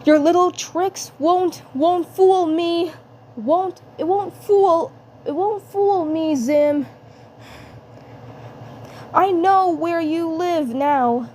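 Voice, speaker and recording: A woman voicing a determined, defiant boy investigator in a cartoon story, repeating phrases haltingly. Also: very high voice, dark tone, voice pushed up, full character voice. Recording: clear background noise.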